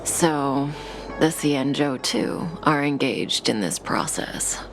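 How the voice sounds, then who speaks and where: An actress playing a cool, enigmatic, teasing female schemer in a video game, with a languid, softly sardonic delivery and a velvety voice. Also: very deep voice, expressive, slow pace, creaky, heavily acted, poor audio. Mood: calm, storytelling. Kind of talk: scripted video game character dialogue. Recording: studio voice over game music.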